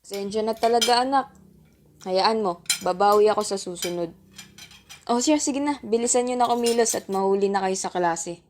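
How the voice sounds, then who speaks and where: A woman's voice: medium pitch, moderate pace, a little mellow, natural voice. A woman playing a caring, hard-pressed mother in an amateur radio drama, with faint noise behind her. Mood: apologetic. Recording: faint noise, clicks and clatter.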